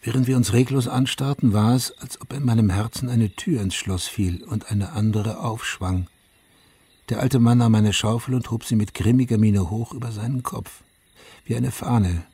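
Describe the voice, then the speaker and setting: An actor playing a haunted middle-aged veteran and father, narrating his story in a radio drama in a subdued, measured, reflective way with a husky voice. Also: medium pitch, steady tone, warm tone, no echo. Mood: somber, reflective. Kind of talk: literary first-person radio drama narration.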